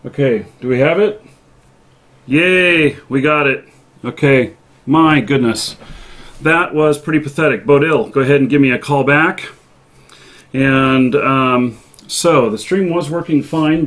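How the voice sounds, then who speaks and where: Male live-stream host. Casual, halting delivery, thinking aloud, middle-pitched everyday voice. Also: fairly bright tone.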